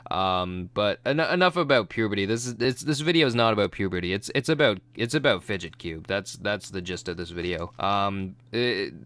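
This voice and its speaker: A man's voice: on the low side, animated, moderate pace, few pauses, a bit bright. A young man with a cold, sounding nasal and congested, rambling casually through a product unboxing with many ums and restarts. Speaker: YouTube reviewer.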